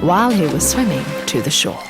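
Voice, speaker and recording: A woman narrating a scripted children's story in an expressive, deliberate storytelling manner. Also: low-pitched. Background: music.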